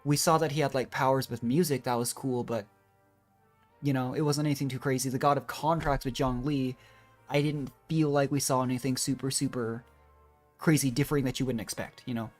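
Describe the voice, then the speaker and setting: Very low-voiced woman streamer thinking aloud. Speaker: game streamer. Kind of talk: casual chatty game commentary.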